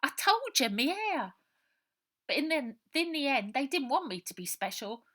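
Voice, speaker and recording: A woman's voice: high-pitched, very animated, moderate pace, pushed and bright, pitched above the performer's own voice, full character voice. A woman voicing a frightened, streetwise ten-year-old orphan boy with a working-class English accent, in earnest colloquial speech for a storybook. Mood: frightened, bitter.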